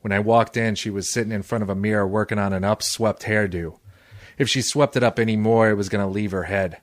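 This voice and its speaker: A man playing a hardboiled, cynical private detective in 1940s noir radio style, terse, tough and deadpan. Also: fairly low pitch, fairly quick, no room sound, close to an everyday voice. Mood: deadpan narration.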